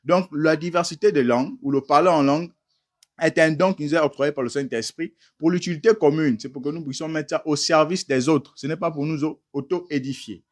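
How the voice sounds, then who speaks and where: A man's voice: on the high side, a little fast. A man preaching a teaching sermon, emphatic and firing rhetorical questions at listeners he calls beloved brothers.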